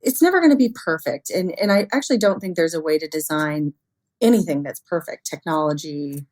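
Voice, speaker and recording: A woman with a low-pitched voice talks as an expert guest in an interview. She explains ideas conversationally, in informal language, often through everyday examples and quoted reactions. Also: animated, brisk, darkish tone. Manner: frequently chuckles, informal, illustrates with acted-out quotes.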